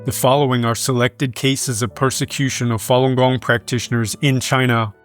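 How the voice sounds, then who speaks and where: A man reading scripted news in a measured, formal manner. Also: mid-range voice, flat delivery, faint music behind. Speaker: news reader.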